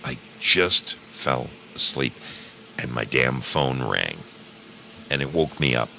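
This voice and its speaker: Very deep, gravelly male radio host. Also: lively intonation, slow pace, sharp tone, soft background music. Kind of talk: casual live radio monologue to listeners.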